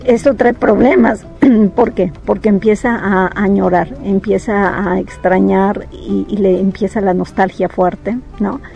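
A woman from Peru speaks with a gravelly, dark tone. She explains things in a reflective way, often pausing to check in with little tag questions. Soft music plays faintly underneath. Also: mid-range voice, fairly even intonation, dull audio. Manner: reflective, thinks aloud with many tag questions. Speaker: psychologist interviewee. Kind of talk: interview answers, explanatory.

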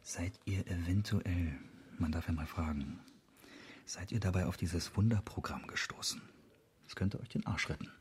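A man's voice: low-pitched, moderate pace, slightly rough. A man playing an exasperated, sardonic police colleague in a radio crime drama, scolding with heavy sarcasm. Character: exasperated male police colleague, sardonic, grumbling but loyal.

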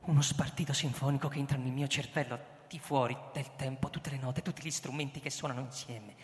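A man's voice: mid-range voice, fairly even intonation, a little fast, lightly acted. An actor playing an anxious, obsessive man in a radio drama, hesitant and stammering, repeating his words. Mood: fervent. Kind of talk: theatrical radio drama acting. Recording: echoey hall with loud music behind. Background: music.

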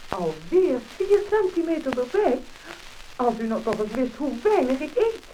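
Crackly old disc, middle-aged housewife, Netherlands Dutch, animated intonation, complaining, 1950s drama. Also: female voice, high-pitched, moderate pace. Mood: indignant complaining. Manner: complaining, exclamatory. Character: fifty-year-old housewife and mother, fretting about her weight. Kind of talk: 1950s scripted radio-style acting. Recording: crackly, hissing mid-century disc recording.

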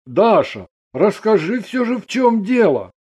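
A fairly high-voiced husband and father acted by a man, wry and a little gruff but steady. His storybook lines move with lively, expressive intonation. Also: unhurried, exaggerated voice. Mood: calm, resigned.

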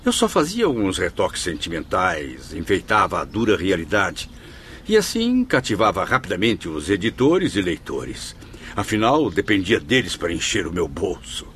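A man's voice: low-pitched, wildly swooping pitch, normal speed, a bit bright, slight background noise, heavily acted. An actor in a radio drama playing the spirit of a proud Portuguese male novelist, florid, formal and theatrical. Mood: courteous, eager.

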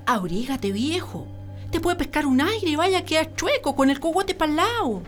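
A superstitious rural Chilean wife in a radio play. Her voice is high and swings widely, with colloquial, exclamatory country phrasing. She comes across as anxious and bossy, with music prominent behind her. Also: animated, moderate pace. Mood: uneasy. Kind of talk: radio drama acting.

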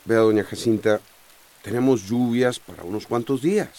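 An actor playing a resolute, caring mayor who speaks insistently and persuasively in a radio drama. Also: male voice, medium pitch, normal speed, loud music behind the voice, close to an everyday voice. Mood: commanding. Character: resolute, caring male mayor of a storm-threatened town. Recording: noisy rain and vehicle ambience.

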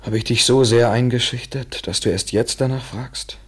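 An actor playing a cynical yet tender, evasive lover in a literary German radio play, speaking with measured irony and a husky voice. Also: male voice, mid-range voice, steady tone, close to an everyday voice. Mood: sardonic. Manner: measured, ironic, articulate.

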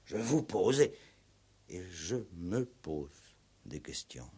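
An actor playing a probing, insistent, ironic police commissioner in a 1960s French radio play. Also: male voice, sits low, very animated, slow pace, warm tone, slight background noise, heavily acted. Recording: old 1960s radio recording.

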